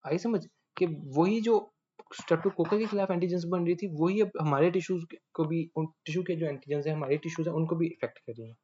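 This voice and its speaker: A man giving a casual medical lecture, often pausing to check that listeners follow. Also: fairly high pitch, mellow, slight background noise. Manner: chatty, checks understanding often. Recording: dull lo-fi audio.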